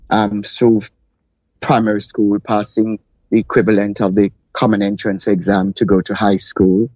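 An older man from Jamaica, a guest in an interview, recounting his childhood in a measured, reflective way. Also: on the low side, a little mellow, dry recording, dull audio. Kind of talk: interview storytelling.

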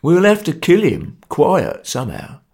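Gruff ringleader, severe and grim, gravelly voice, as a reader puts him on. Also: male voice, a little high, wildly swooping pitch, a little slow, a little mellow, voice pushed up, exaggerated voice. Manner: gruff, severe, matter-of-fact. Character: grim stern male ringleader, working-class.

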